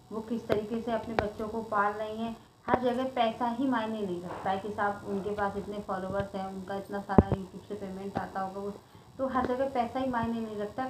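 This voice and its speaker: A woman giving informal vlog commentary in an earnest, emphatic way that often circles back on itself. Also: a little high. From India. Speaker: video blogger. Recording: echoey room with faint background noise.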